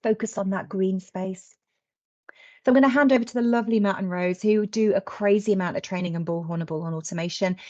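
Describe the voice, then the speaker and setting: A woman's voice: mid-range voice, quick pace, a little mellow. A woman hosting a webinar in an informal, chatty and blunt colloquial way. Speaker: webinar host. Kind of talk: informal presentation. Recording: slightly dull lo-fi webinar audio.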